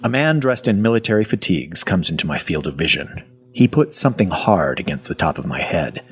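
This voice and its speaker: An actor playing a weary, sardonic captive who narrates his own horror story in wry, deadpan, clipped phrases with a husky voice. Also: deep voice, brisk, faint music behind. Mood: wry narration. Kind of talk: first-person audio fiction narration.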